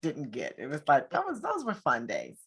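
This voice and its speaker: A woman's voice: deep voice, wildly swooping pitch, moderate pace, slightly rough. A woman hosting a casual online interview, informal and encouraging as she asks her questions. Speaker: interview host.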